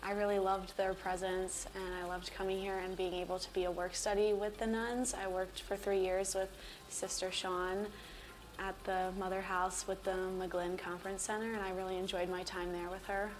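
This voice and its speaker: A woman's voice: medium pitch, level tone, moderate pace, slight background noise, poor audio. Fond young woman, faint ambience. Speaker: graduating student. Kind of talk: testimonial interview.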